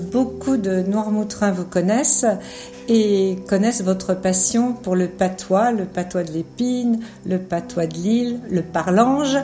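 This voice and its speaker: A woman hosting a local radio show, introducing her guest and asking prepared questions. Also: mid-range voice. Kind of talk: radio interview. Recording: radio studio with music behind.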